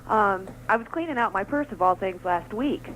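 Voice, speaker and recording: A woman's voice: medium pitch, expressive, normal speed, a bit bright, a little hiss or hum. A young American woman calling in to a radio show by phone, reminiscing in casual, conversational speech. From California, United States. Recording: telephone call on old radio broadcast.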